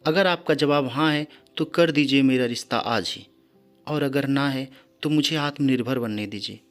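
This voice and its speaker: A man's voice: medium pitch, normal speed, natural voice. A man voicing an outspoken young adult daughter in a story, earnest and firm. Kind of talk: storybook character dialogue. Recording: background music under the voice.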